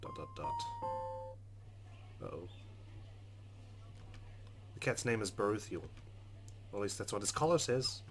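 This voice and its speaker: A man doing a let's play, reading a game's narration aloud in an expressive, measured, dramatic way. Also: fairly low pitch. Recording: game music under the voice.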